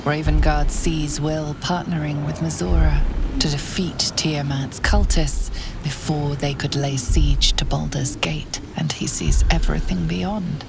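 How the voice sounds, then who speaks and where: A woman playing a grave, portentous storyteller, giving measured scripted narration for a fantasy game. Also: deep voice, steady tone, vocal fry. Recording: loud music behind voice.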